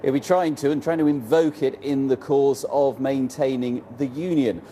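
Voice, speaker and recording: A man reporting and interviewing outdoors for the news, brisk and probing with a dry edge. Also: mid-range voice, steady tone, mellow, slight background noise.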